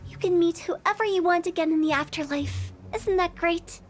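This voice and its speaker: A woman's voice: very high voice, normal speed. A woman voicing a playful, macabre young funeral director with a teasing, mischievous, giggly way of talking. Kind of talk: game cutscene voice acting.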